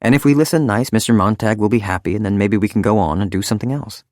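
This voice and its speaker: A man's voice: fairly low pitch, quick pace, darkish tone, no echo, studio quality, pitched above the performer's own voice, close to an everyday voice. A man voicing a brittle, chattering young wife in a storybook reading. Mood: coaxing.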